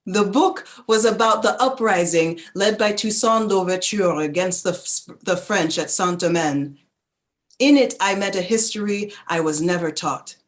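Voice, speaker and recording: An actress playing a reflective woman narrator who recalls her childhood in a contemplative, deliberate literary reading. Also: mid-range voice, close to an everyday voice.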